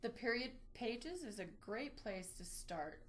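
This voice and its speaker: A woman's voice: fairly high pitch, expressive, unhurried, a bit bright. A woman presenting an instructional webinar, explaining things step by step as she walks through a screen demonstration. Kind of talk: instructional screen-share walkthrough.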